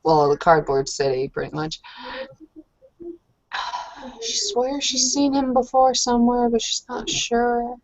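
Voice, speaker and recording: A woman's voice: a little high, slow, long pauses. A woman playing a tabletop roleplaying game, improvising narration of her character's actions. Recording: muffled lo-fi voice recording.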